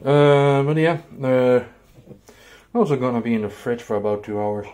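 A man casually narrating a home cooking vlog in a laid-back, somewhat halting way. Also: mid-range voice, a little mellow. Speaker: home cook, video host. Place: indoors in a home kitchen.

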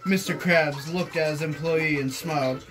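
A man's voice: on the high side, steady tone, moderate pace. A young man reading fan fiction aloud to friends in an amused manner, recorded in a bedroom. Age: young adult. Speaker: reader, friend of the video maker. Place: small bedroom, indoors. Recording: room recording with loud background music. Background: music playing in the room.

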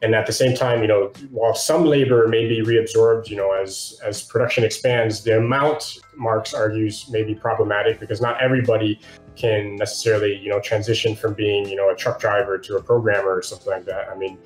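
A man's voice: on the low side, steady tone, brisk, faint music behind. A man in his thirties co-hosting a casual explanatory discussion over a video call from a room at home. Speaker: co-host of a read-along book discussion show. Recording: roomy, echoing video-call audio.

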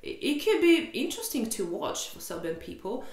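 A woman's voice: sits high, very animated, a little fast. Young Russian woman, frank vlog to camera, sarcastic edge, some echo from the room. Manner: exasperated, candid, sarcastic, swears freely. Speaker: vlogger. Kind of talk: casual chat to camera. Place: small room indoors.

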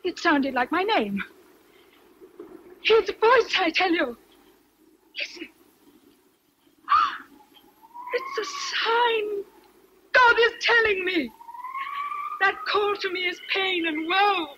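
An actress with an English accent playing a passionate, independent young Victorian governess in a radio drama, earnest and emotional. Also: female voice, extremely high pitch, expressive, slow, long pauses, bright, forceful tone, slight background noise, exaggerated voice, rough recording. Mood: ecstatic, urgent. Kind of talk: BBC radio drama acting.

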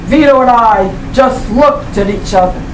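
Older female reader for literary narration: high voice, slow expressive delivery, echoey room. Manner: deliberate, expressive. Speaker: performer of a dramatic reading. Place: small indoor meeting room with audience. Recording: roomy, noisy event room, distant microphone.

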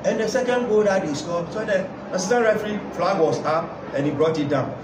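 A man's voice: high-pitched, brisk. A middle-aged man, a football coach answering at a post-match press conference, emphatic and repeating his points. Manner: emphatic, repeats key points, gestures while talking. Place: indoor press room with sponsor backdrop. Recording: echoey room, mic far off, loud crowd noise.

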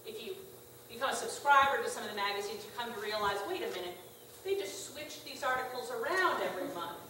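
A middle-aged woman pastor giving a conversational, gently humorous sermon in a small church. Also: on the high side, animated, fast, slight background noise. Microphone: far room camera. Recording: echoey church from far off.

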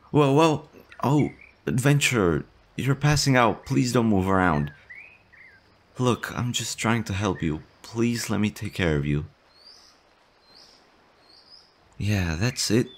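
A man's voice: mid-range voice, a bit bright. A man voicing a nervous, shy male slime monster in an audio roleplay, stammering and fretful as he tends to someone. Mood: flustered. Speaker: voice actor.

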